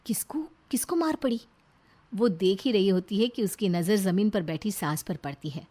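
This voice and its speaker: A woman's voice: medium pitch, moderate pace, mellow. A woman reading scripted narration for a family moral story, as a storyteller.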